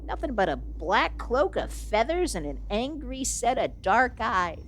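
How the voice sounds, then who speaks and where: An imperious villainous spellcaster queen from a fantasy audio drama, played by a woman. The voice is high and swings dramatically up and down, quick to take offence and full of melodramatic indignation. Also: very animated, slight background noise. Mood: haughty, threatening. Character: haughty, easily affronted young female evil sorceress queen. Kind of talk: ASMR audio roleplay acting.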